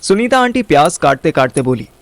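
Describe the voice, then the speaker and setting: Animated higher-pitched male storyteller. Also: fairly quick, no room sound. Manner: colloquial, dramatic storytelling, Hindi-English slang. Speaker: narrator and host. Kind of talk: first-person audio story narration.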